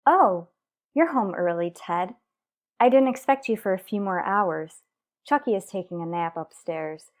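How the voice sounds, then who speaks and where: A worried, composed mother and estranged wife acted by a woman in an old-fashioned radio soap. Her mid-range voice moves in proper, deliberate phrases. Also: mid-range voice, lightly acted. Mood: guarded.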